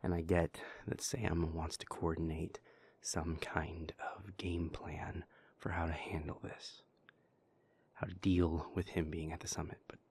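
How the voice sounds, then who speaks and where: A man voicing a weary, bitter young vampire in an audio roleplay, talking in a low, hushed voice up close to the mic. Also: husky, heavily acted. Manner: tired, resentful muttering that softens to tender.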